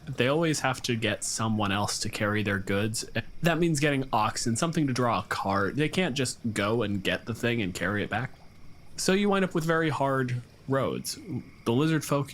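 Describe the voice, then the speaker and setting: A man's voice: medium pitch, fairly bright tone. A man improvising narration as game master of a tabletop game, casually explaining as he goes. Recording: clear background noise.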